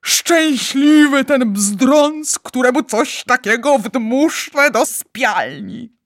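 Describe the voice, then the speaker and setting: A woman voicing an excitable, bossy male giant in a storybook, exclaiming theatrically in garbled made-up words. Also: medium pitch, slow pace, slightly rough, no echo, clean studio sound. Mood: thrilled. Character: excitable male giant dream-catcher, bossy, dramatic, word-mangling.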